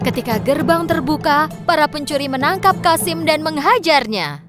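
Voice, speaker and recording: Animated woman storyteller, high voice. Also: moderate pace. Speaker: narrator. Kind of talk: scripted children's storybook narration. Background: background music.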